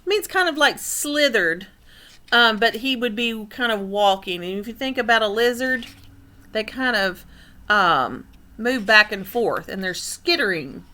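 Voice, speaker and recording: Bright-voiced woman reading a picture book. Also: fairly high pitch, animated, measured pace. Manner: explanatory, asks listeners questions. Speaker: teacher reading aloud to children. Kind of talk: picture-book read-aloud with explanations. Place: indoor room.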